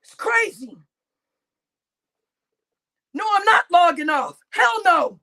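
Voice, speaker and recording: A woman livestreamer, crying as she rants at her chat, shouting with heavy swearing and repeating her outbursts. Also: very high-pitched, animated, takes it slowly, gravelly. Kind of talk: angry livestream rant to chat.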